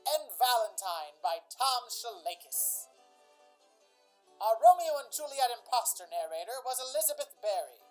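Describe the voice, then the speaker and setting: Woman as high, animated old-time radio announcer. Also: rough recording. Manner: theatrical, presentational. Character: showy radio announcer and storyteller narrator. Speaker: announcer and narrator.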